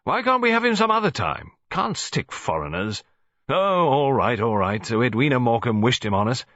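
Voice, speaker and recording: A man voicing a gruff, grumbling old English colonel in an audiobook story, blustering as he talks. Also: medium pitch, very animated, moderate pace, fairly bright tone, dry recording, highs cut off, voice pushed up. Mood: irritated, blustering. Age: older.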